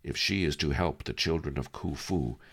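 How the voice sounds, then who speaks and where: A man voicing a calm, wily old sage who speaks in a dry, reasoning tone. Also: a bass voice, normal speed, husky, exaggerated voice. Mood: wry.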